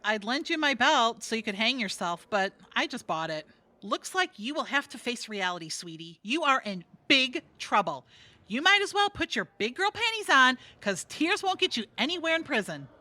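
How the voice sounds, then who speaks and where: Comedy cellmate role: sardonic woman jailbird, female actor, forceful bright tone, lively inflection, blunt and needling. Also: a little high, normal speed, dry recording. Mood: wry, blunt. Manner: wry, mock-sympathetic, blunt. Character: brash, sarcastic, worldly female jailbird needling her cellmates. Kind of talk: audio theatre comedy acting.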